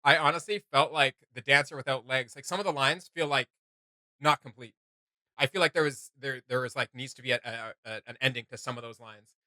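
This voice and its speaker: A man's voice: mid-range voice, fairly even intonation, a little fast, sharp tone, no echo. A man co-hosting a reaction video, chatting informally and emphatically as he thinks aloud. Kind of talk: casual reaction commentary.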